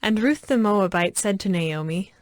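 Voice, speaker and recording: A woman narrating scripture as a scripted audio story, with measured, clear phrasing. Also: mid-range voice, dry recording, studio quality. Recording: background music under the voice.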